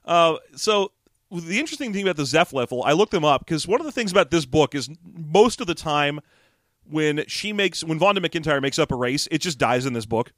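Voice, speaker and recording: A male podcast co-host chats about a book in a loose, joking way and keeps slipping into quick impressions between laughs. His voice sits a little higher than most men's. The sound is dry and close, with no room around it. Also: lively intonation, fairly bright tone, no echo. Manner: jokey, laughs often, puts on quick impressions. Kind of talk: casual chat discussing a book.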